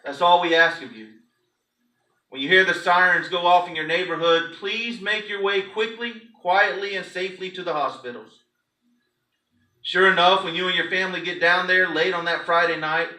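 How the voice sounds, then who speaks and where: A man's voice: high-pitched, bright, forceful tone. A man, a pastor, giving a theatrical, emphatic dramatic reading of a story to a congregation. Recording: echoey room, distant microphone.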